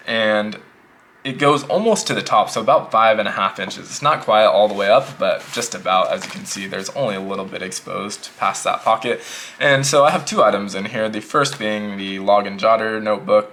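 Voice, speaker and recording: A man casually reviewing a notebook pouch in a relaxed, rambling way, a little sick and slightly hoarse. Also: fairly low pitch. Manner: relaxed, rambling, repeats himself. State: losing his voice, a bit sick. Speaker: EDC gear reviewer.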